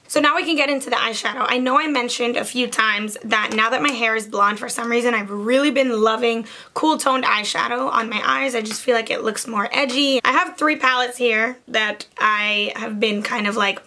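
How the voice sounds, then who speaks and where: A young woman with a hoarse, raspy voice, chatting casually through a makeup tutorial with many "like" fillers. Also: fairly high pitch, bright, forceful tone. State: hoarse from lost voice. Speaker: beauty vlogger.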